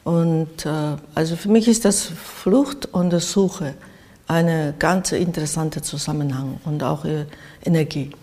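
An older woman from Japan with a Japanese accent, giving reflective interview answers haltingly as she searches for words. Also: sits low, warm tone. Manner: halting, searching for words in non-native phrasing. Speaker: interviewed artist. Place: art studio in Berlin, indoors. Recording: reverberant, echoey room.

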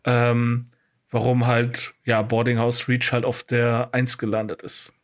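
A man's voice: medium pitch, completely flat delivery, a little slow. A man co-hosting a casual music podcast, talking in a rambling, opinionated way with many fillers. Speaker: podcast co-host.

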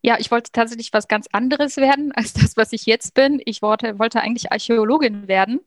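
A woman's voice: on the high side, fairly quick, no echo. A woman guest in an interview, talking about her work with frequent fillers and small laughs. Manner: hesitant, many fillers. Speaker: guest, program coordinator. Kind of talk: interview answers.

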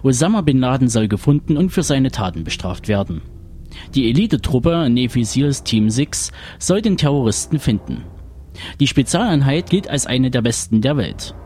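A man's voice: medium pitch, very animated, normal speed, a little mellow. A man reading a scripted film synopsis as an announcer.

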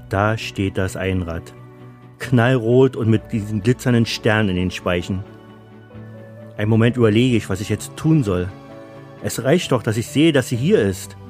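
A man's voice: on the low side, a little mellow. A man reading a scripted first-person story as its narrator.

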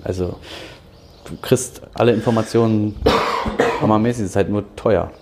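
A man's voice: on the low side, steady tone, normal speed, background noise. A man from Berlin in his thirties, an angler talking casually as a podcast guest, upbeat and a bit rambling, laughing often. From Germany, Berlin.

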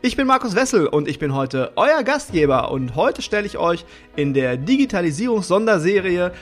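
A man hosting a sponsored podcast on hospitality, talking in an upbeat, colloquial way. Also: high-pitched. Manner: upbeat, colloquial, uses casual emphasis. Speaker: podcast host.

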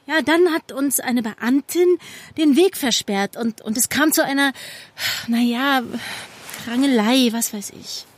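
A young undercover policewoman with a high, husky, breathy voice. She is defensive and guilt-ridden, stumbling over her words as if cornered in a naturalistic audio drama. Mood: defensive, agitated. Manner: halting, stammering under pressure. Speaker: actor in a fiction podcast.

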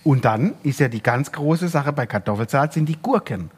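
A man's voice: medium pitch, animated, brisk, breathy edge. A talkative man hosting a casual podcast chat, teasing and throwing in questions. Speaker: podcast host.

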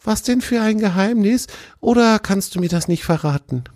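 A man voicing an eager, cheerful young male deer, talking high and excited, in a dry, close recording. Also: normal speed, dry recording. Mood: curious.

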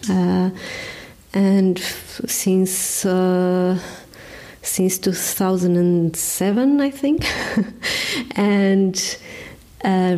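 A woman's voice: mid-range voice, warm tone. A Portuguese woman in her forties, speaking English as a second language with a Portuguese accent, answering interview questions hesitantly, with many fillers and restarts. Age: 40s. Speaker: ministry director, guest. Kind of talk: casual interview chat.

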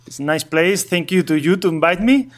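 A man's voice: sits high, lively intonation. Spanish man in hesitant English. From Spain, Asturias. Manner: halting non-native English, frequent restarts and chuckles. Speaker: guest, traveling cyclist. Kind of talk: casual remote interview.

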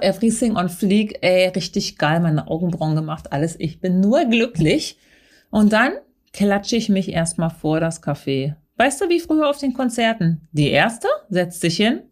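A woman with a Berlin accent talks in a brash, chatty comic monologue, tossing in slang and English phrases. Her voice sits at a middle pitch and she swings it up and down theatrically for effect. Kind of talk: comic monologue addressed to a friend.

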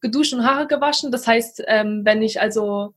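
A woman in her thirties from Saxony-Anhalt, Germany, calmly recounting her life as a guest in a casual conversation. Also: fairly high pitch, husky. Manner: reflective, measured storytelling.

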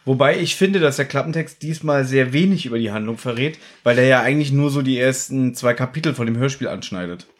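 A man hosting a casual podcast chat, teasing his co-host and telling stories in an animated way. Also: mid-range voice, fast, few pauses.

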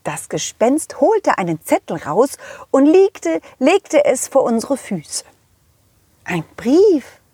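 A woman voicing a confident, loyal, kindly dog who tells his adventures to children in a chatty, halting, empathetic way. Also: high voice, very animated, dark tone, slightly rough, no echo, clean studio sound, voice pushed up, heavily acted. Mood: earnest, kindly. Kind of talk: children's audio play storytelling.

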